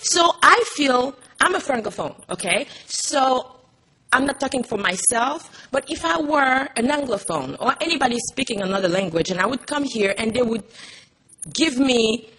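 A woman's voice: on the high side, animated, normal speed, bright, forceful tone, breathy edge, low-quality recording. A woman, an actress on a panel, speaking earnestly in English, often restarting her sentences. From Haiti. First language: Haitian Creole. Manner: earnest, frequent restarts and fillers. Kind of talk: panel talk, personal story. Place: Montreal.

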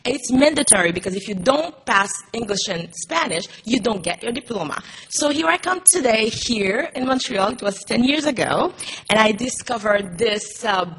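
A woman's voice: a little high, animated, moderate pace, a bit bright, a little hiss or hum, rough recording. A woman, an actress on a panel, speaking earnestly in English, often restarting her sentences. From Haiti. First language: Haitian Creole. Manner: earnest, frequent restarts and fillers. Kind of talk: panel talk, personal story. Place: Montreal.

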